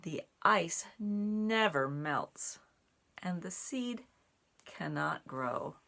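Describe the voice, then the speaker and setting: A woman reading a children's picture book aloud, phrasing it deliberately in short pieces with animated emphasis. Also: lowish voice, creaky. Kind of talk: expressive read-aloud for young children.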